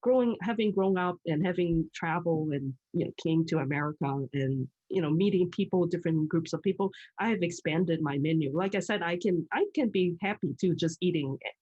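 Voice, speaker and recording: A woman's voice: lowish voice, brisk, a little mellow, highs cut off. A middle-aged woman from Hong Kong, a guest in casual chat, talking freely with frequent laughs and restarts. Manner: chatty, laughs often, many restarts.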